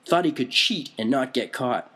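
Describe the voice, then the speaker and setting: A man voicing a loud, tactless punk woman in her late fifties, with a husky voice. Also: mid-range voice, animated, normal speed. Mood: gossipy.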